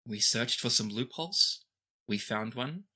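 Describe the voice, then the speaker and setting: A woman voicing a formal, composed princess in a measured, courteous manner for a tabletop game. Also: very deep voice, lively intonation, bright, forceful tone, slightly rough, heavily acted. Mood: gracious, measured.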